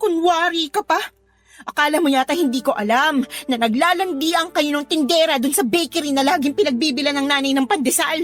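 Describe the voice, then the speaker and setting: Casting: actress as very high-voiced wronged young wife and anxious mother, tearful radio drama delivery, music underneath. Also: brisk, close to an everyday voice. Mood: hurt, accusing. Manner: tearful, accusing, pleading. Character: hurt, suspicious young wife, worried mother of sick child. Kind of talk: emotional radio drama acting.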